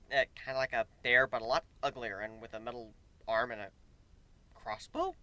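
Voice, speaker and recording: Animated, bright-voiced man reading a story. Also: medium pitch. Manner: expressive, emphatic. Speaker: amateur story reader. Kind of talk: dramatic first-person story narration.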